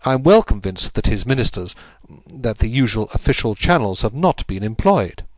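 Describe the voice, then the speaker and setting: A man reading aloud, voicing an earnest younger statesman who explains in a hurried, anxious way. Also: mid-range voice, big pitch swings, dry recording, natural voice.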